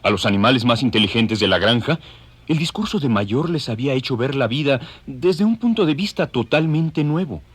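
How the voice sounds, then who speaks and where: A man's voice: mid-range voice, expressive, moderate pace, breathy edge, no echo. A man narrating an audio drama in a scripted, dramatic storytelling style.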